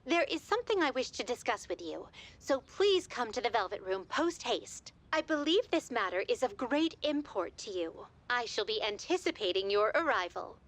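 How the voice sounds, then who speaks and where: Formal, cryptic female attendant, stately phrasing, lively lilt. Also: fairly high pitch, normal speed, few pauses.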